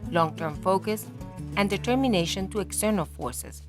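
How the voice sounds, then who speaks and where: A woman's voice: medium pitch, lively intonation, normal speed. A woman reading a scripted research narration in a formal, academic manner. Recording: music behind the voice. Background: music.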